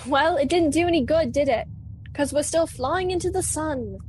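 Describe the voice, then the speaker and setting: A woman's voice: sits high, moderate pace, darkish tone, a little hiss or hum, natural voice. A young woman playing a sullen, insecure and defiant teenage girl pilot in a sci-fi radio play. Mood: despondent, sullen. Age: young adult.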